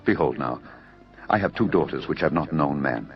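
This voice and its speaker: Man as low-voiced anxious householder, pleading. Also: expressive, moderate pace. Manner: deferential, earnest, entreating. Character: anxious, hospitable, pleading male householder of ancient city. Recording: dull narrow audio over music.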